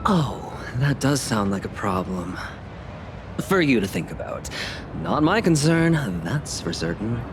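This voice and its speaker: A man's voice: medium pitch, big pitch swings, measured pace, noisy background. A man voicing a rich, flashy male director in a video game, talking in a breezy, nonchalant and wry way. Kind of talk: video game cutscene voice acting. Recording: music playing underneath.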